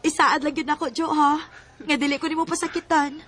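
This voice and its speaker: A woman's voice: sits high, steady tone, a little fast, fairly bright tone. A woman playing a tender, aggrieved young woman in a Philippine radio drama, with an emotive, pleading delivery. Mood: tender, wistful.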